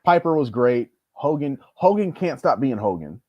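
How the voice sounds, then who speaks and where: A man's voice: mid-range voice, sing-song, normal speed, no echo, lo-fi sound. A man as a podcast guest, chatting casually in a blunt, slangy, opinionated way. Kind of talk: casual chat.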